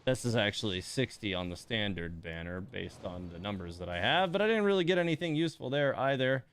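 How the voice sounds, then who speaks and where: Male game streamer, clear smooth voice, casual and exasperated, muttering asides to chat. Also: fairly low pitch, animated, fairly quick. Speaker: livestream host playing a gacha game. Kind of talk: casual livestream chat and commentary.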